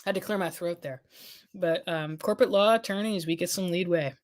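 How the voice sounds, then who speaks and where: A woman with a slightly low voice hosts a casual legal news livestream. She rambles and restarts her sentences while she reads viewer comments. Her voice is getting hoarse as the stream goes on. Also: a little fast, a little mellow. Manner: rambling, many restarts and fillers, thinking aloud. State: losing her voice. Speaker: legal affairs journalist hosting a livestream.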